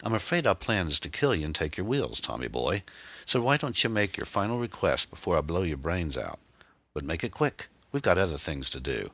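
Man playing taunting teenage gang leader, deep and lively. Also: fairly quick, no room sound, full character voice. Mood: gleeful threat. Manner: taunting, chirpy. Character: teenage English gang leader, mockingly polite, menacing.

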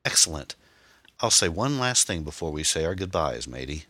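Audiobook villain: male narrator as cocky English teen gang boss, chirpy, mock-courteous menace, low and very animated delivery. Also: moderate pace, dry recording, exaggerated voice. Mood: gloating. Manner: taunting, chirpy. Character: teenage English gang leader, mockingly polite, menacing.

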